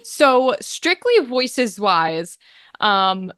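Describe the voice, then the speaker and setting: A woman's voice: medium pitch, big pitch swings, drawn-out words, fairly bright tone, smooth, no room sound, clean studio sound. A woman speaking casually as an interview guest, talking in an emphatic, informal way as a voice actor and game master.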